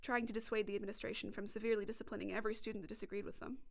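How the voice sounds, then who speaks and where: A woman's voice: medium pitch, level tone, very fast, gravelly. A young woman reporting and narrating a scripted documentary podcast.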